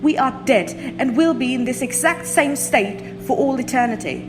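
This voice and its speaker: A woman's voice: high-pitched, lively intonation, normal speed, over music. A woman playing a bored, sarcastic young ghost granddaughter in an audio drama, flippant and teasing. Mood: fed up.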